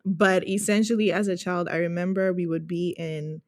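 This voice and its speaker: A woman from Ghana with a fairly low voice, talking as an interview guest. She answers conversationally and reflectively, thinking aloud as she goes, in a very dry recording. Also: steady tone, moderate pace, darkish tone, no echo. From Ghana, grew up in Accra. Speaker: podcast guest, user experience researcher.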